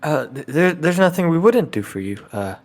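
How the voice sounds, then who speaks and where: A man speaking haltingly and casually as a player in an improvised tabletop roleplay. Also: fairly high pitch, big pitch swings, darkish tone. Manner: hesitant, halting.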